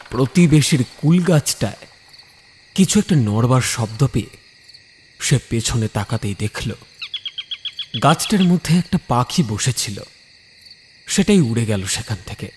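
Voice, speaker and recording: A man's voice: medium pitch, darkish tone, slight background noise. A man narrating a scripted ghost story dramatically, building suspense phrase by phrase.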